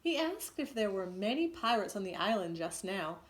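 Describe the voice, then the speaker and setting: A woman teacher narrating a storybook aloud for students, expressively, with asides and questions to the children. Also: medium pitch. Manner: expressive, pausing to ask questions.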